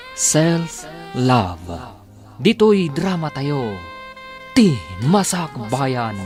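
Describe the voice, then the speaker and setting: Emphatic male radio drama announcer. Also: mid-range voice. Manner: emphatic, drawn-out delivery. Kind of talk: scripted dramatic radio narration.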